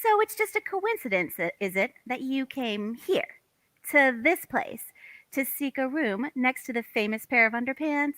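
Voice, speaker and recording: A woman's voice: high voice, expressive, normal speed, a bit bright, dry recording. A woman playing a feisty, suspicious housewife in a comic play table read, arch and pointed in her emphasis. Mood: sarcastic, accusing. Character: feisty, suspicious young housewife, sharp-tongued.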